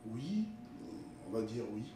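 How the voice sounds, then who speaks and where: Measured Congolese man in an echoey room. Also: mid-range voice, big pitch swings. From Republic of the Congo. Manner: measured, corrective, gaining force when arguing. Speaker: guest, historiographer and writer. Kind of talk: interview answers. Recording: echoey room, mic far off, faint noise.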